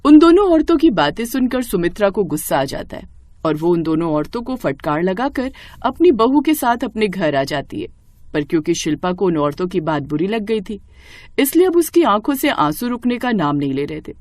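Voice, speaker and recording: A woman's voice: medium pitch, big pitch swings, normal speed, darkish tone, no echo. A woman narrating a scripted family story as a storyteller.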